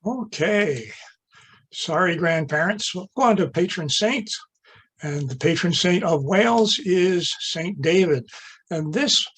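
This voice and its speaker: A man's voice: high voice, breathy edge. A man giving a chatty, amused slide-show lecture, with asides and fillers as he goes.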